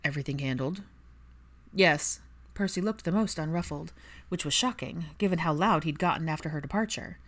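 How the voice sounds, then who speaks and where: A woman narrates a piece of fiction. Her voice sits fairly low for a woman, and she delivers the prose as a scripted audiobook reading. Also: brisk.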